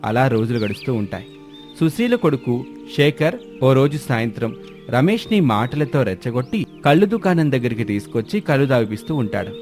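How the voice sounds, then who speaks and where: A man's voice: medium pitch, very animated, brisk, darkish tone, soft background music. A man narrating a scripted moral story as storyteller.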